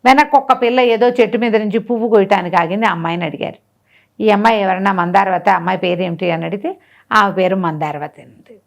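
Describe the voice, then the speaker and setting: A woman's voice: mid-range voice, quick pace, creaky. A woman tells a folk tale conversationally, asking rhetorical questions and acting out the dialogue. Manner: animated retelling with rhetorical questions and acted-out dialogue. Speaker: storyteller.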